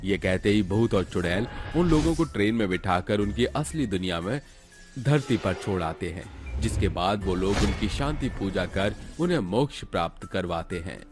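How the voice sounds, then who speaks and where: A man's voice: on the low side, expressive, measured pace. A man reading scripted narration for a horror cartoon, with music behind his voice.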